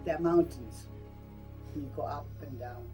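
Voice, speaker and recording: An older woman from Burma shares memories in an interview. Her voice sits a little low and is soft-edged and mellow. She tells it plainly and with emphasis, over faint music. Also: a little slow. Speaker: interviewee recalling wartime life. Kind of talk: personal reminiscence.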